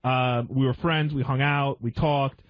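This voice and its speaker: A man's voice: medium pitch, fairly even intonation, measured pace, a little hiss or hum. A man in a casual radio discussion, hedging and repeating his phrases as he explains himself. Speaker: radio show staff member. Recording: dull, muffled lo-fi radio audio.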